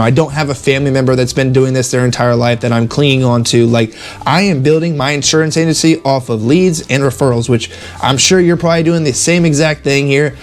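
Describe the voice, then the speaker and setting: A man giving casual, instructional sales tips in a conversational, emphatic way, repeating phrases to drive them home. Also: fairly high pitch. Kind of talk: casual instructional vlog monologue.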